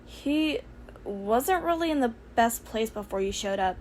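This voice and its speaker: A woman's voice: on the high side, animated, measured pace, a little mellow, a little hiss or hum. A woman voicing a brash young professional heroine in an audiobook, casual and sardonic with a caring streak. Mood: casual, fond. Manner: casual, exasperated, emphatic. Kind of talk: audiobook character voice for fan fiction.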